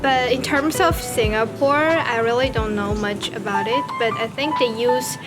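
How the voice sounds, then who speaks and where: A woman from mainland China gives a steady, explanatory street interview answer in a slightly high voice. Loud music runs under her words. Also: moderate pace.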